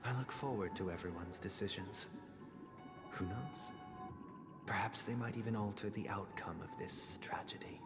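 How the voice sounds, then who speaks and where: Deep-voiced solemn gentleman played by a man, reverberant hall. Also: close to an everyday voice. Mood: measured, challenging. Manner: formal, eloquent, deliberate. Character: solemn, measured gentleman adviser recounting a tragic tale. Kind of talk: dramatic video game monologue. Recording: long hall reverb, clear game music. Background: game music.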